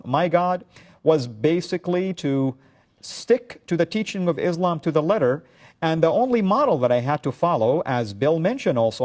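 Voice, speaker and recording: A middle-aged man from Saudi Arabia, hosting a talk show, speaking earnestly and measuredly with frequent pauses. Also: medium pitch, darkish tone. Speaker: show host. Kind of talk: talk-show monologue to a guest.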